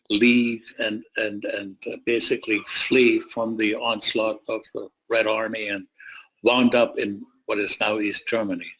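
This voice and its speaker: A man's voice: lowish voice, fairly bright tone, poor audio. Older man telling his life story. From born in Poland, grew up in the United States. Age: 70s or older. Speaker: guest, retired surgeon and medical advisor. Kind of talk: autobiographical storytelling in an interview.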